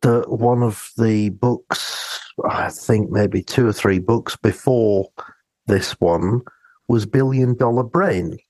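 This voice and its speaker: A man co-hosting a podcast, talking casually about books and telling personal anecdotes in a hesitant, reflective, halting way. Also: fairly low pitch, a little mellow, no room sound. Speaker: podcast co-host.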